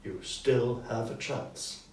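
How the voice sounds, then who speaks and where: Man playing a slow, echoing, solemn ghost. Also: mid-range voice, a little mellow, natural voice. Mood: solemn warning. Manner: slow, solemn, emphatic declamation. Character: ghost of a dead businessman, anguished, regretful, solemn. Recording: roomy echo, distant mic, background noise.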